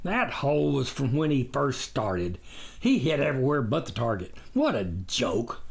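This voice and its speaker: A man voicing a gruff, mocking, dismissive cynic in a storybook reading, with a barking delivery. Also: mid-range voice, very animated, normal speed. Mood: scoffing. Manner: scornful, dismissive. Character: gruff, scoffing, mocking imagined cynic with Old West talk.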